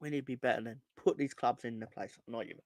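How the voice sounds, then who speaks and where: A hoarse man ranting on a casual football fan podcast, swearing and rambling with many restarts. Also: medium pitch, fast talker, a little mellow, dry recording, clean studio sound. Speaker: podcast co-host.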